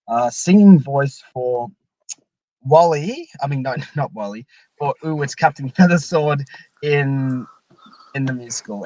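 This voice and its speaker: A young man hosting a casual live stream chat in a halting, hesitant way. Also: fairly high pitch, a little mellow, low-quality recording. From Australia. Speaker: live stream host and fan-dub project leader.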